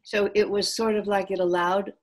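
A woman's voice: medium pitch, flat delivery, moderate pace, a little mellow. A woman interviewing her sister, asking curious, encouraging questions in a conversational style. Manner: curious, encouraging, many back-channel replies.

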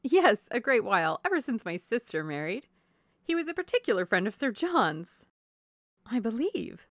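A woman playing a silly, chatty young married gentlewoman in a classic novel reading, effusive and exclamatory. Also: on the high side, big pitch swings, normal speed, dry recording, studio quality. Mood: chatty, complacent. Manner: effusive, exclamatory, prone to giggling. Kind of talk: classic novel audiobook dialogue.

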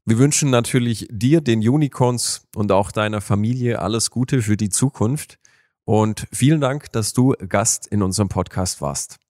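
A man's voice: on the low side, normal speed, darkish tone, no echo. Dry-sounding male podcast host. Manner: short encouraging backchannels, friendly questions. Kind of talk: interview.

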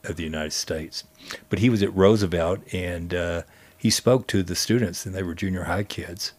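A man telling stories conversationally as a guest, speaking in a halting way with frequent pauses. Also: low-pitched, fairly even intonation, darkish tone. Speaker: guest storyteller and history teacher. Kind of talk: conversational storytelling.

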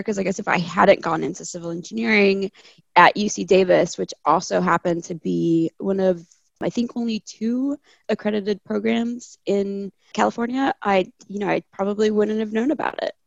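A woman's voice: medium pitch, normal speed, creaky, darkish tone, no room sound, dull audio. A young woman from Chile, a landscape architect, chatting casually as a guest and laughing often. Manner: chatty, laughs often, quotes people in asides. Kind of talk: casual interview conversation.

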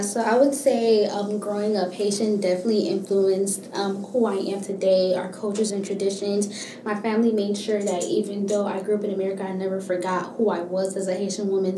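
A young woman from Miami, a university student, answering interview questions in a relaxed, thoughtful way with frequent ums. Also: medium pitch, reverberant, background noise. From Miami, Florida. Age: young adult.

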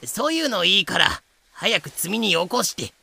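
Man playing a gravelly, roguish pirate captain. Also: high voice, very animated, moderate pace, bright, forceful tone, dry recording, full character voice. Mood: casually menacing. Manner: drawling, casual, rough-spoken. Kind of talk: amateur audio drama acting.

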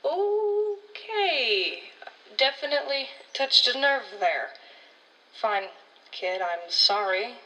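A woman's voice: high-pitched, lively intonation, slow pace, sharp tone, distant mic, lo-fi sound, exaggerated voice. A woman playing a blunt, condescending ranger colleague in an audio drama, talking in a world-weary, slangy way. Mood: reluctantly apologetic, warning.

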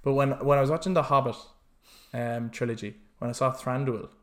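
A man co-hosting a podcast, chatting casually with animated, sweary rants and acted-out reactions. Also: medium pitch, fairly quick. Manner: animated, sweary ranting, acts out imagined reactions. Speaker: podcast co-host. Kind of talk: casual chat about films and games.

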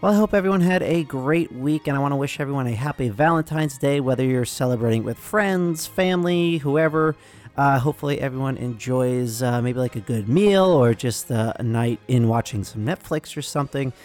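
Young man, podcast host, hoarse from a cold, casual rambling, lots of fillers, mid pitch, faint music behind. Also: fairly quick, darkish tone. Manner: rambling, filler-heavy, apologetic. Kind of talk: casual news podcast talk.

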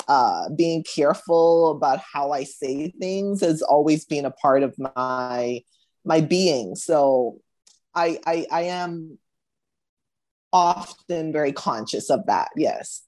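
Middle-aged woman from the Bahamas as an interview guest, lower voice, thoughtful, hesitant. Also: darkish tone. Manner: thoughtful, hesitant, many restarts. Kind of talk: casual interview conversation.

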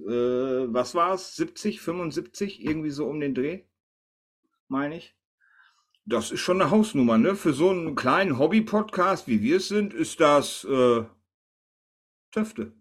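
A man hosting a casual podcast in a chatty, teasing way. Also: medium pitch. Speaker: podcast host.

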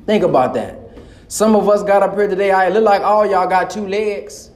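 A man's voice: high-pitched, moderate pace. A man preaching to a live audience in an impassioned, colloquial way. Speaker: minister. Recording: echoey hall.

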